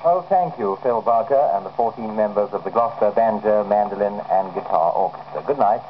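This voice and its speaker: Man, formal radio interviewer, fairly deep, old muffled acetate recording. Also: brisk, slight background noise. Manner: polite, formal.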